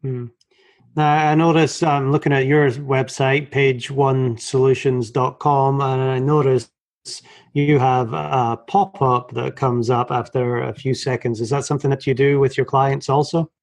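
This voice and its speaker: Male business interview host, medium pitch. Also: flat delivery, measured pace. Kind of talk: conversational business interview questioning.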